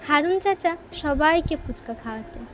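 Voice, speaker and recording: High-pitched woman narrating a children's story. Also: animated, normal speed, noisy background. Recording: music behind the voice. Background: instrumental music.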